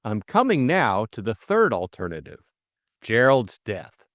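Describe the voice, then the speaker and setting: Man playing a lively young gentleman sleuth. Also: lowish voice, a little slow, dry recording, studio quality, exaggerated voice. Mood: explaining. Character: breezy, reasoning young English gentleman amateur sleuth. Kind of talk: audiobook character dialogue.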